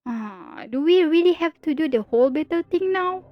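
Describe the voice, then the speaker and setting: High-voiced, teasing female supervillain, acted by a woman. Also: expressive, normal speed, no room sound, highs cut off. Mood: wry, exasperated. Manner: sarcastic, drawling, mock-dramatic. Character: teasing, theatrical female supervillain, playful and world-weary. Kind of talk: ASMR roleplay audio drama.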